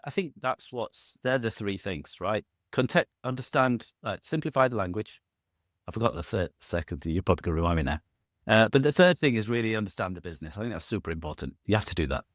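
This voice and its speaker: A man's voice: mid-range voice, dry recording, clean studio sound. A middle-aged man, a podcast guest talking casually in a rambling, self-correcting way with jokey asides. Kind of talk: casual conversational interview.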